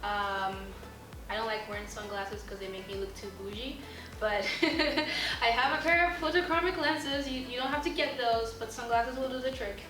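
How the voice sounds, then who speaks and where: Young woman from Ghana, slightly high voice. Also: moderate pace. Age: young adult. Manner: relaxed, chatty, hesitant fillers. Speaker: international student. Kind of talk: casual informational video. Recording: roomy, mic at a distance, music underneath.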